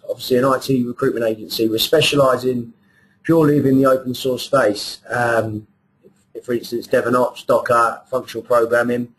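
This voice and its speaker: A man introducing a webinar and its sponsor, talking briskly with a few fillers and restarts. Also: medium pitch, rough recording. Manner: brisk, slightly hesitant with fillers. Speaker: webinar host and recruiter.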